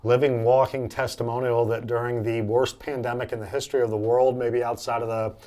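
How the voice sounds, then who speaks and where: A man's voice: medium pitch, level tone, brisk, mellow. A man hosting a conversational promotional interview, speaking as a company representative who steps in to underline key points for viewers.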